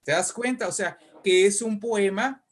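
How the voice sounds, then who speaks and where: Effusive, higher-pitched man in interview. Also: measured pace. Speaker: guest writer and poet. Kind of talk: conversational interview, literary anecdotes.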